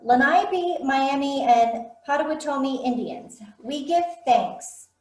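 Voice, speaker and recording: A woman's voice: high voice, slow pace, darkish tone, reverberant. An actress playing a fussy, flustered woman chairing a meeting, hesitant and stammering, in a staged play reading over a video call.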